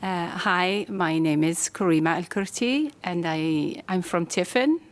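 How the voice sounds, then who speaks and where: A woman's voice: lowish voice, background noise. A woman from Algeria, giving brief, hesitant thanks at a city council meeting. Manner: hesitant, many pauses and restarts. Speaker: community member at public comment. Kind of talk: brief thank-you remarks.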